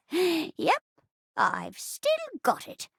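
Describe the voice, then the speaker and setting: A woman's voice: high voice, wildly swooping pitch, very slow, creaky, dry recording, studio quality, exaggerated voice. A woman voicing a bashful, eager-to-please living chair in a video game, giggly and theatrical, stammering when flustered. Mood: gleeful. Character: bubbly, bashful, eager-to-please living chair who loves play-acting.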